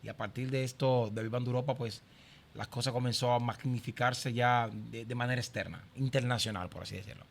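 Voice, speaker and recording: A man's voice: mid-range voice, fairly even intonation, a little fast, vocal fry. Dominican man giving a conversational testimony. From Santo Domingo, Dominican Republic. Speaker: pastor, podcast guest.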